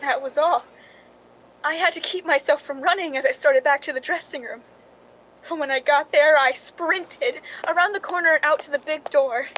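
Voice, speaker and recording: A woman's voice: sits high, a little hiss or hum, natural voice, rough recording. An actress playing a film stand-in who narrates her own crime story in a suspense radio drama, speaking in a dramatic first person.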